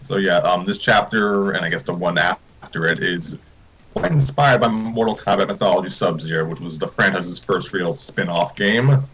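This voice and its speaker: A man's voice: low-pitched, normal speed, a bit bright, a little hiss or hum, poor audio. A man co-hosting a livestream, giving casual explanatory commentary.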